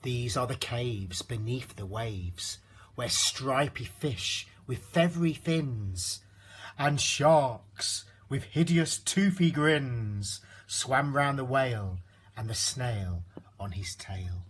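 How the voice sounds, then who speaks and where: A man reading a rhyming children's storybook aloud in an expressive narrating style. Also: mid-range voice, takes it slowly, breathy edge. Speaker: storybook reader.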